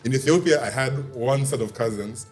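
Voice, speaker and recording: Animated young man telling his story. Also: medium pitch, a little fast, faint music behind. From Tanzania, raised abroad. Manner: animated storyteller, laughs along. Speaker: guest actor. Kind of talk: casual interview talk.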